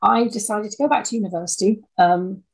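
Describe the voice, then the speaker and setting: Older lady in her sixties or so, husky creaky voice, thoughtful interview chat, stops and restarts. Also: mid-range voice, poor audio. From Scotland. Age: 60s or older. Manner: thoughtful, halting with repeated words. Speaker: interview guest, audio dramatist. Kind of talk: reflective interview conversation.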